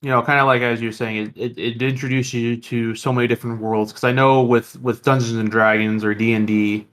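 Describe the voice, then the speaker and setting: A man hosts a podcast in an informal, chatty style. He speaks at a middling pitch for a male voice. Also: little pitch movement, a little fast, fairly bright tone. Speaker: podcast host. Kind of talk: casual chat.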